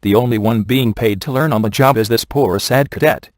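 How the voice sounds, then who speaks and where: A man's voice: medium pitch, a little fast, no room sound, lightly acted. A man playing a wisecracking, laid-back ghost hunter in a video game, with a dry, sardonic and glib delivery. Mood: dry sarcasm. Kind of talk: scripted video game character dialogue.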